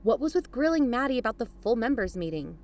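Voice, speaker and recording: A woman playing an investigating aunt who narrates in a measured, explanatory way. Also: on the high side, sing-song, darkish tone. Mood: exasperated. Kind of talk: scripted audio drama narration.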